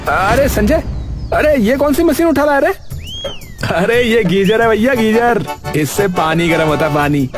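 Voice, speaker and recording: Man acting animated, excitable cartoon villager. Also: high-pitched, measured pace. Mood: excited banter. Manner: exclamatory, folksy banter. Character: excitable, teasing village men in a comic cartoon. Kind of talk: comic cartoon dialogue. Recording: music behind the voices.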